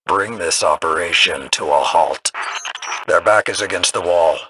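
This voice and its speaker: A man playing a grim, vengeful military strategist in a video game, speaking in a measured, formal, severe way with a gravelly voice. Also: low voice, bright, forceful tone, exaggerated voice, poor audio. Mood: grave, stern.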